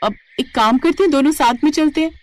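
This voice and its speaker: A woman's voice: high voice, fast, a little hiss or hum, voice pushed up, close to an everyday voice. A woman voicing a brave, caring and fiercely protective village wife and mother in a moral story, firm and feisty. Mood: reassuring, determined.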